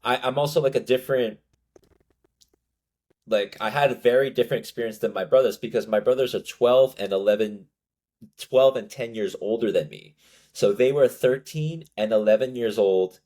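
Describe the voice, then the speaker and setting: A man's voice: mid-range voice, moderate pace, a little mellow. A man with an American accent, hosting a casual interview and telling his story with lots of restarts and fillers. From United States, born in Korea. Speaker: podcast host.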